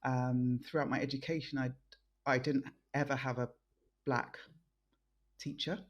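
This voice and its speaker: A middle-aged woman born in Nigeria and raised in London, giving thoughtful interview answers as a guest, with frequent pauses and restarts. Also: rumbling low, flat delivery, dull audio. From born in Nigeria, raised in South East London. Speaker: guest, think tank director.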